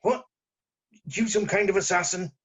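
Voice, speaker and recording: Higher-voiced husky assassin, played by a man. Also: fairly even intonation, moderate pace, fairly bright tone. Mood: suspicious, agitated. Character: cautious businesslike male assassin in his late thirties, suspicious. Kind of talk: amateur script table read. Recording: dull lo-fi voice recording.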